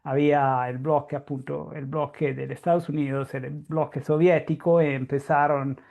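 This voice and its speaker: A man with an Italian accent giving an academic conference talk in a halting, explanatory way with frequent restarts; Italian is his first language. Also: fairly high pitch, level tone, creaky, phone-quality sound. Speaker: guest lecturer, astrophysicist.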